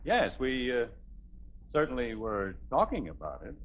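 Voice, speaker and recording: A man's voice: medium pitch, wildly swooping pitch, a little slow. An actor playing a sardonic, exasperated middle-aged suburban father, dry and stern, in 1950s radio comedy style. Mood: patient, affable. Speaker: father in a family sitcom. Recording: muffled, faintly noisy 1950s radio recording.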